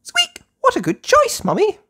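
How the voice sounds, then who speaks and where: A woman voicing an excitable female rabbit in a storybook, with a squeaky voice. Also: normal speed, exaggerated voice. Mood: excited.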